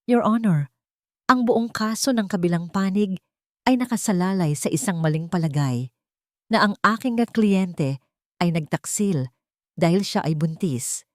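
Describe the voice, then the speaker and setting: A woman voicing a calm, authoritative male defense lawyer, measured and formal, with a Filipino accent. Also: on the low side, no echo, studio quality. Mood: measured, firm.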